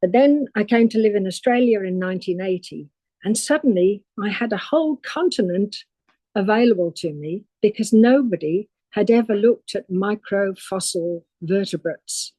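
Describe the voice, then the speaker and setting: An older woman from England, recounting her career as a guest on a podcast interview in a measured storytelling way. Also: mid-range voice, animated, mellow, no echo, poor audio. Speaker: guest paleontologist.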